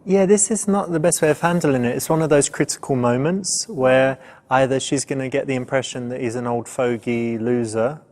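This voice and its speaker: A man's voice: medium pitch, normal speed, few pauses, darkish tone. Man giving casual dating advice. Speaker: dating coach and commentator.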